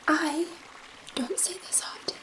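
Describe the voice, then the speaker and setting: Woman playing a whispering, gentle alien scientist. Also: high voice, poor audio. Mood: dreamy wonder. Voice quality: soft, breathy whisper. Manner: unhurried, reassuring, hesitant, murmuring to one listener. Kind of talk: ASMR roleplay, whispered.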